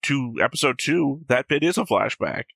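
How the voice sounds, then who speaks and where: A man's voice: mid-range voice, animated, normal speed, creaky, fairly bright tone, dry recording, clean studio sound. A man hosting a reaction show, explaining at length in a casual, musing way.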